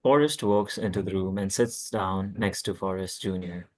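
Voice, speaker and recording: Casting: male stage-direction reader, fairly low voice, plain scripted narration, a little stumbling. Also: normal speed. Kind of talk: scripted narration at a table read.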